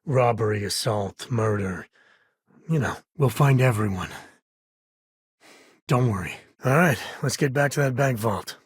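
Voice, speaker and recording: Principled space-frontier dad, video game hero, heavily husky voice, plainspoken, wry, dry studio sound. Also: male voice, mid-range voice, a little slow, pauses often, no room sound. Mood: steady, reassuring. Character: principled rugged spacefaring ex-lawman and devoted father. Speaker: video game companion character. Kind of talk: video game voice acting, naturalistic dialogue.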